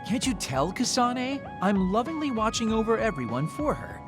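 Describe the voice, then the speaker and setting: Breezy, animated, teasing slacker. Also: male voice, high-pitched, normal speed. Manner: playful, teasing. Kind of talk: video game voice acting. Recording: music behind the voice.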